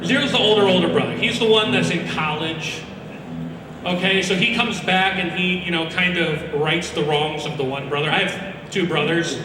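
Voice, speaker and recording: A loud young man giving a rambling, animated, informal talk about video games to a live audience, acting out characters as he goes. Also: high-pitched, moderate pace, noisy background. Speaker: speaker presenting a ranked list. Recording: very echoey hall, distant mic, loud music behind.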